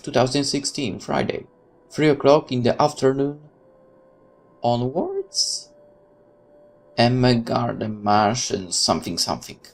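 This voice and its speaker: A man reading a horror visual novel aloud as a let's player, with casual commentary of his own. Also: mid-range voice.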